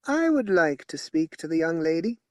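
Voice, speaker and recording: Thin, accented, solemn little psychic , a male narrator putting him on, slow and measured. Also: fairly high pitch, very animated, no echo, clean studio sound, voice pushed up. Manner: measured, formal. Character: odd little foreign man, solemn psychic.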